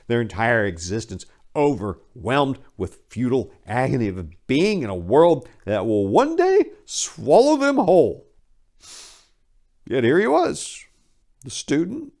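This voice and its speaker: Deep-voiced man, dramatic storyteller. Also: darkish tone. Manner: theatrical, intense, deliberate phrasing. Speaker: narrator reading a story aloud. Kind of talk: dramatic reading of dark horror fiction.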